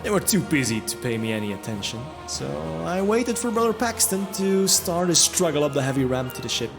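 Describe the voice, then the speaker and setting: A man's voice: a little high, sing-song, fairly quick. A man narrating a first-person fantasy audio drama in a reflective storytelling manner. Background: music.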